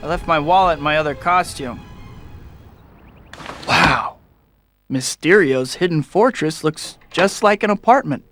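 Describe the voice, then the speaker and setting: Young game superhero, sarcastic wisecracks, slightly high voice, music behind him. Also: male voice, unhurried, pauses often, a bit bright. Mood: playful sarcasm. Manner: flippant, sarcastic quipping. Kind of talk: video game hero acting.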